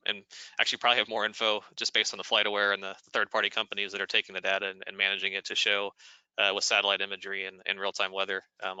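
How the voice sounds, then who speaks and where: A man's voice: on the low side, sharp tone, clean tone, no echo, dull audio. A man hosting an informal question-and-answer session, moderating and relaying questions. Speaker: webinar host, moderator.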